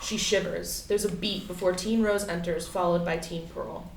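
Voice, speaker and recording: A woman's voice: fairly low pitch, fairly even intonation, moderate pace. A woman giving scripted narration of stage directions at a play reading. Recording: distant mic, echoey room, clear noise.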